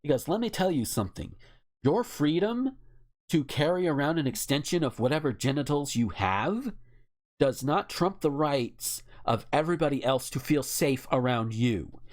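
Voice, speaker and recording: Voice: mid-range voice, expressive, normal speed, darkish tone. An American podcast host talking casually and bluntly, with emphatic opinions and swearing. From United States.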